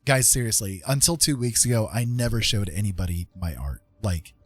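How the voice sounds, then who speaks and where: Low, husky man rambling over faint music. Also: brisk. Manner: hesitant, self-effacing, thinking aloud while drawing. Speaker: streamer and digital artist. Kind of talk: casual live stream chat with tutorial asides.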